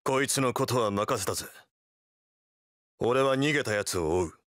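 A man voicing a cool, tough young delinquent in an anime game, terse, blunt and deadpan. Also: medium pitch, normal speed, no echo, studio quality, close to an everyday voice. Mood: cool, curt.

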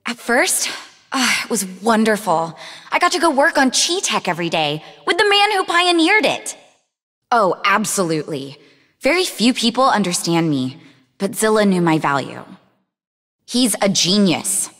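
A woman playing a sharp, sardonic young female spy in a video game, talking conversationally with a defiant edge. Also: mid-range voice, big pitch swings, moderate pace. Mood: admiring, defensive. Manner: sarcastic, defiant. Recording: long reverb on the voice.

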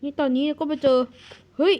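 A woman narrating a game cartoon in a playful way, switching quickly between the characters' lines. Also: muffled recording, a little hiss or hum.

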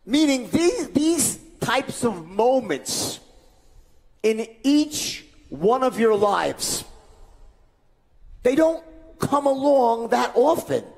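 A man's voice: extremely high pitch, big pitch swings, extremely slow, gravelly, pauses often. A middle-aged man giving a motivational stage speech into a headset mic, emphatic, repetitive and rhetorical. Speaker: motivational speaker. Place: large event stage indoors. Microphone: headset microphone.

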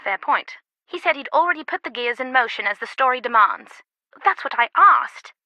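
Casting: female game character, loyal secretive confidante, earnest and measured, fairly high crisp bright voice, dry close sound. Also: expressive, no room sound, heavily acted, rough recording. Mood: serious, confiding. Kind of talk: video game voice acting.

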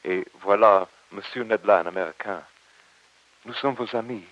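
An actor playing an earnest, dignified French professor in an old radio drama, speaking with formal, measured diction. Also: male voice, lowish voice, fairly bright tone, no echo, lo-fi sound, close to an everyday voice. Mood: formal, appealing. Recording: hissy old radio recording.

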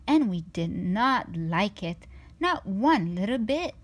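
Woman, children's read-aloud, animated intonation, unhurried, sing-song. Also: mid-range voice. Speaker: storybook reader.

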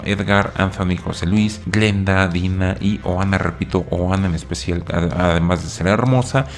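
A man hosting a casual video commentary on a reality show, rambling with many fillers and asides. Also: deep voice.

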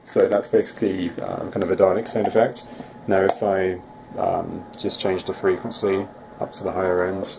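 Very deep, flat-toned man. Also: moderate pace, vocal fry, background noise. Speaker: electronics hobbyist presenter. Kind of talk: informal project demo narration.

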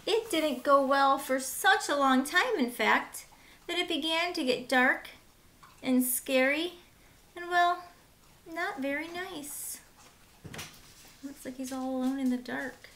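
A woman in her thirties reading a picture book aloud as a teacher, with simple, deliberate phrasing for young children and short asides. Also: high voice, sharp tone. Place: small room at home, indoors.

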